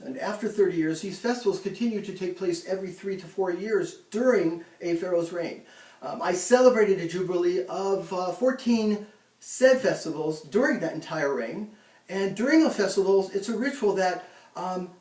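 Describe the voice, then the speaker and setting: A man playing a proud ancient Egyptian pharaoh in a mock interview, speaking haltingly with many ums and restarts, recorded in an echoey room from a distance. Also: high-pitched, a little mellow, natural voice. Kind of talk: amateur school-style role-play interview.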